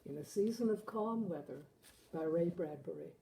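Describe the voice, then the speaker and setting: A woman hosting a literary reading, speaking in deliberate, measured and expressive phrases. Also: lowish voice, gravelly, slight background noise, poor audio. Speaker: host reading short stories. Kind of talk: literary story reading and host talk.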